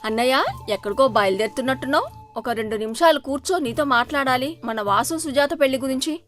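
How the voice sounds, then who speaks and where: Woman acting a high-voiced, pushy sister. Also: quick pace, a bit bright, faint music behind. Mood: eager, insistent. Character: pushy, indignant middle-aged sister, mother of a bride-to-be. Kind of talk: moral story cartoon dialogue.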